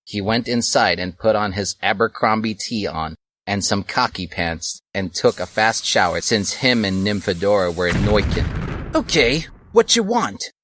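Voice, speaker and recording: A man giving a deadpan, sarcastic comedic reading of a fan story on a close home mic. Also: on the low side, measured pace, slight background noise. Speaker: online story reader.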